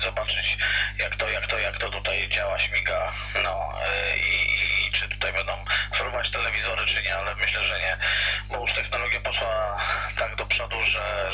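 A man's voice: fairly low pitch, pushed and bright. A man chatting casually over CB radio in a rambling, hesitant way. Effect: CB radio transmission. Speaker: CB radio operator. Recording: muffled, hissy CB radio with hum.

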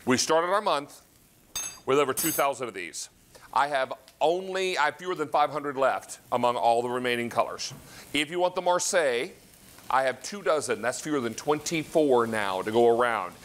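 A middle-aged American man hosting a live home-shopping pitch from a studio kitchen, effusive and folksy in manner. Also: expressive, moderate pace, fairly bright tone, slight background noise. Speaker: shopping channel host.

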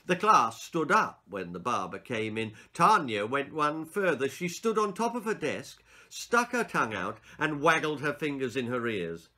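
A man in his sixties narrating a children's story in an expressive, deliberate, theatrical way. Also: mid-range voice. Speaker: author reading his own stories. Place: small book-lined room indoors.

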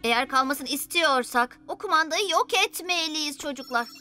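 Woman voicing a high, assertive boy superhero. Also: lively intonation, normal speed. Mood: resolute. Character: decisive, questioning young boy superhero in cat costume. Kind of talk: dubbed children's cartoon acting. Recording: loud music behind the voice.

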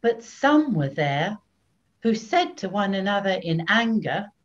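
An older woman narrating scripture solemnly and deliberately, with expression, into a webcam in a small room at home. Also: mid-range voice, sing-song. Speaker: narrator (evangelist). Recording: slightly dull webcam recording.

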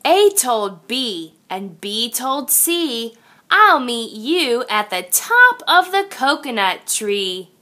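A woman reads a children's picture book aloud at a very slow, deliberate pace. Her voice is high and lively, swinging up and down in an expressive sing-song way, like a teacher speaking to little kids.

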